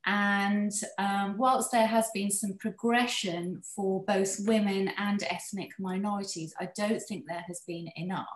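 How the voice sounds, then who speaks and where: A woman's voice: mid-range voice, steady tone. Reflective woman in an echoey room. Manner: reflective, measured, personal. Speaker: designer and hospitality business owner. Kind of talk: panel discussion. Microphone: video call.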